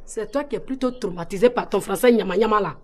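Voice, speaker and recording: A woman's voice: medium pitch, fast, close to an everyday voice. A woman playing a mother who scolds and mocks someone's poor French. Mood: scolding. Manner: mocking, scolding. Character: scolding mother proud of her mother tongue.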